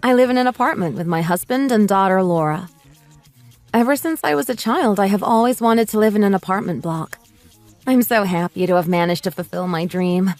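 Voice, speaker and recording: A woman's voice: medium pitch, brisk, darkish tone, no echo, faint music behind. A woman narrating a scripted first-person story.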